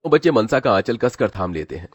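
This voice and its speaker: A man narrates a dramatic scripted story in a fairly low voice. He works as the storyteller, and the recording is very dry, with no room sound. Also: sing-song, quick pace, no room sound.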